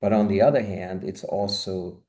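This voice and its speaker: Mellow-voiced Austrian-born man on a video call. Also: deep voice, steady tone, moderate pace. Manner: expansive, rambling with many "you know" asides. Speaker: guest, marketing consultant. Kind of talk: conversational video-call interview. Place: home office. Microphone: video call, webcam.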